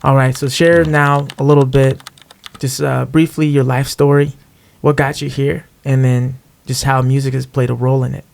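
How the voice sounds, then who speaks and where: A man with a mid-pitched, gravelly voice hosts a casual podcast. His delivery is conversational and stays quite flat in pitch. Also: moderate pace. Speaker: podcast host, music artist.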